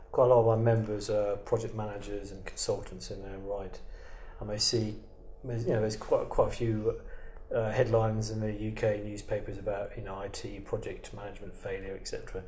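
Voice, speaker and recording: A man's voice: fairly low pitch, flat delivery, brisk, a little mellow, echoey room, a little hiss or hum. A man interviewing a guest, building long questions full of "um" and "I mean". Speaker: interviewer, editor for an IT institute.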